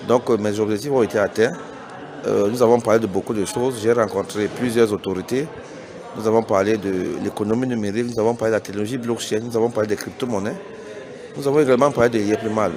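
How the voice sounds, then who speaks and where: A middle-aged man from Cameroon whose first language is Bassa, giving an earnest, persuasive spoken statement to reporters, hesitating and restarting often. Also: fairly even intonation, quick pace, crowd noise. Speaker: business founder giving a press statement.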